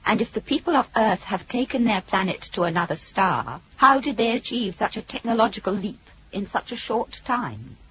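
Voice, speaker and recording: An actress playing a calm, calculating female ship computer in a radio drama, speaking in a formal, coldly logical way. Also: medium pitch, normal speed, hardly any pauses, natural voice. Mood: cool, calculating. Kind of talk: 1980s radio drama acting. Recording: dull old radio recording, light noise.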